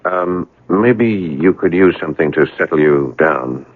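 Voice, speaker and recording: An actor playing a concerned young family doctor in a 1970s radio drama, speaking courteously and tentatively. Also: male voice, low voice, animated, measured pace, slightly rough, exaggerated voice. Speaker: actor in a radio mystery play. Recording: narrowband old radio broadcast.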